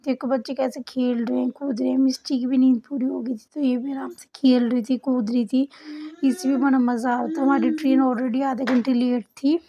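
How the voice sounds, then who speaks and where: A young woman with a sore, hoarse throat, chatting to her viewers in a voice-over for her travel vlog. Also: high voice, fairly even intonation, moderate pace, warm tone, poor audio. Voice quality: husky, cracked voice. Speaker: vlogger. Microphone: voice-over recorded separately.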